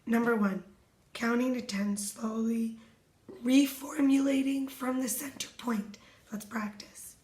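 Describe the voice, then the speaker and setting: A hoarse woman giving a reflective self-help talk as a wellness coach, speaking softly and meditatively and often dropping to a whisper. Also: fairly high pitch, fairly even intonation, slow pace. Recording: echoey room.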